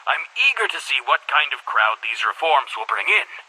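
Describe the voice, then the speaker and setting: An actor playing a weary, embittered middle-aged school headmaster in a video game monologue, speaking in a formal, measured, brooding way with a husky voice. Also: male voice, very animated, sharp tone, exaggerated voice, poor audio. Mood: cautiously hopeful. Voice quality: husky, breathy low voice.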